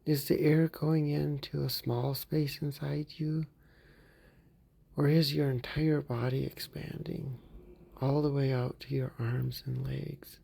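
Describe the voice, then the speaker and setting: A man guides a mindfulness meditation for a therapy group with an unhurried, very slow delivery. His voice is deeply gravelly and husky, with a mellow, dark tone. He stays gentle throughout and often sinks into soft whispers. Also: flat delivery.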